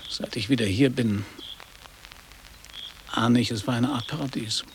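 A man's voice: mid-range voice, steady tone, measured pace, gravelly, a little hiss or hum, poor audio. An actor playing an earnest, nostalgic grown man who revisits his old school in a radio drama, speaking in a musing way.

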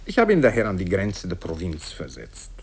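A man voicing a gleeful, sly provincial governor in a radio story, chuckling and excitable, affectionate in manner. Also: deep voice, moderate pace, slightly rough, heavily acted. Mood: sly, complacent. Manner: chuckling, excitable, swooping delivery. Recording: hissy old radio recording from cassette.

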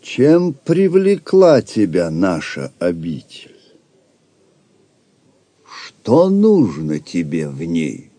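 Stern grand master, audio play: mellow dark timbre, measured slow speech, imperious and severe. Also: male voice, deep voice, huge pitch swings, no room sound, exaggerated voice. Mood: stern questioning. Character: Beaumanoir, stern austere grand master of Templars. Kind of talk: Russian audio drama acting.

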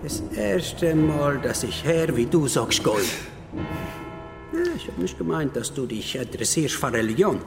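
An actor playing a fussy, caring older uncle in a radio drama, with singsong delivery and unusual word order. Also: male voice, a little high, expressive, a little slow, vocal fry, music in the background. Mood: fussing. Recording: echoey reverberant church hall.

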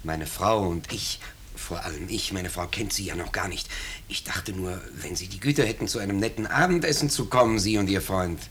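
An actor in a 1950s German radio drama playing a pompous, nervous householder who is eager to please, blustering and stammering when uneasy. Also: male voice, low voice, normal speed, fairly bright tone, a little hiss or hum. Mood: meek, coaxing.

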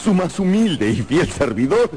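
A man's voice: fairly high pitch, big pitch swings, unhurried, darkish tone. A man acting an irascible, imperious opera house director in a radio drama, with a commanding, theatrical manner. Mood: mocking, theatrical. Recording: radio drama over background music.

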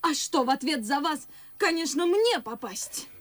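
A lively young maidservant in a verse radio drama. She is pert, anxious and sharp-tongued, her fairly high voice swinging up and down with each exclamation. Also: normal speed. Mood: urgent, fretful. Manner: animated, exclamatory. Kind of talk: classic verse radio play acting.

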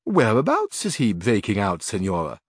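A man's voice: on the low side, wildly swooping pitch, normal speed, breathy edge, no echo, clean studio sound, full character voice. A man doing a teasing learned bachelor. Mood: wry. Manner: wry, reassuring and slightly mocking.

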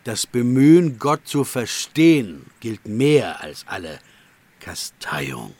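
A sly, wizened tempter in a radio play. The husky mid-pitched voice argues insistently, coaxing and reproaching with rhetorical persuasion. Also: male voice, very animated, slow, a little mellow, no echo, full character voice. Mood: mocking, accusing. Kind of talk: radio drama acting.

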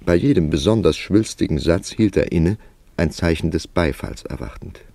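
An actor playing a worldly, wry male writer who narrates his tale in a radio play with measured, dramatic storytelling. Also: deep voice, expressive, creaky, warm tone, dry recording, full character voice. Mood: reflective narration. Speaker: narrator and lead character.

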